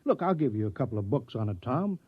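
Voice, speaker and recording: An actor playing a bossy, scheming town mayor in a 1950s radio drama, talking in a hurried, persuasive way. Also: male voice, mid-range voice, very animated, breathy edge, no room sound. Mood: urgent. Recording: dull, dry 1950s radio recording.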